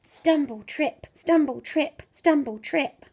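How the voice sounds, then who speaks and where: High-voiced woman reading a children's story slowly. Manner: animated, drawn-out words with sound effects. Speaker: primary school teacher reading a bedtime story. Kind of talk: expressive children's storybook read-aloud.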